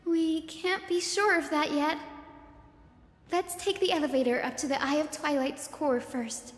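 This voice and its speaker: An actress voicing a gentle, polite young woman who guides and reassures the party in a game. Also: high voice, fairly even intonation, unhurried. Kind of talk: game voice acting. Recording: roomy reverb with game music.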